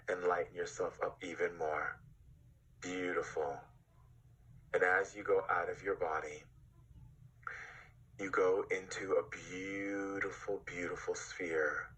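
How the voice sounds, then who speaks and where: A man leading a guided meditation with a husky, breathy voice, giving hypnotic, directive instructions with long pauses. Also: sits low, fairly bright tone, low-quality recording.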